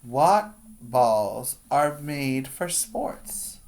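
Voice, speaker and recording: A male preschool teacher reads a children's book aloud at a very slow pace. His voice sits at a medium pitch. He phrases each line deliberately, in an instructional way, as if guiding young children through the pages. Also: sing-song, creaky, darkish tone. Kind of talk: read-aloud for young children with explanatory asides.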